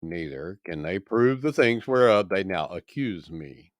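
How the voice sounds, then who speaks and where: A composed apostle on trial read by a man gives his defense from scripture. He sounds earnest and formal, with slow, measured phrases. The recording is dry. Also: sits low, lively intonation, no echo.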